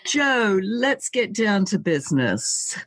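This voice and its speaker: A woman's voice: medium pitch, big pitch swings, slow pace, a bit bright, dry recording. A woman hosting an interview podcast, presenting in a prepared, introductory style. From Britain. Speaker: podcast host.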